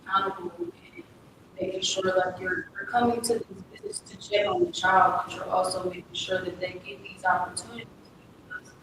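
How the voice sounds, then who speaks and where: A young woman giving halting personal testimony as a guest in a public community conversation. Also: lowish voice, steady tone. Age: young adult. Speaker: community panel guest, former foster youth. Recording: very echoey room, distant mic, noisy.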